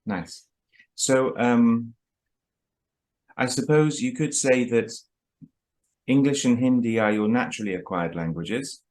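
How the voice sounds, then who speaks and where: A man's voice: medium pitch, slow, pauses often, darkish tone. A man hosting a casual interview, asking questions with a hesitant, friendly manner and frequent fillers. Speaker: host. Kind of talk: casual interview over video call.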